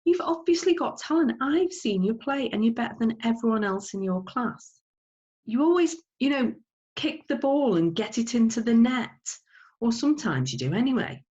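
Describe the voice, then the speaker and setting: A woman's voice: on the high side, big pitch swings, moderate pace, a little mellow, highs cut off, voice pushed up. A woman reading a storybook plays a pushy politician mother. She is self-important and emphatic, scolding her child with exasperation in a raised, lifted voice. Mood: exasperated, pushy.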